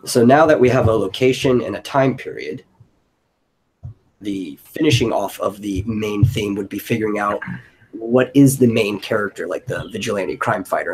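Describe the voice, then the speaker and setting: A man's voice: on the low side, a little mellow, breathy edge, rough recording. A man hosting a casual brainstorming chat, thinking aloud in a loose way. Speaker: host of a brainstorming stream. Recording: noticeable background noise.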